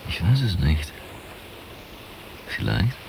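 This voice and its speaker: An actor playing a concerned, hesitant man with a low-key, halting manner in a German radio drama. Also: huge pitch swings, creaky, exaggerated voice. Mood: quiet, uneasy. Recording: very noisy old cassette tape.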